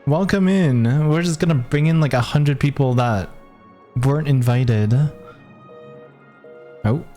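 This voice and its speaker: A man's voice: medium pitch, a little slow, mellow, soft background music. A man streaming a game with casual, joking reaction commentary, often echoing lines in jest.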